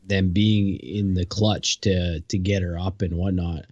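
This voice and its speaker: Male co-host, very deep, slow and halting, muffled dry mic. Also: level tone, darkish tone, dry recording. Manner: slow, hesitant, searching for words. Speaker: podcast co-host. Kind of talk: casual chat.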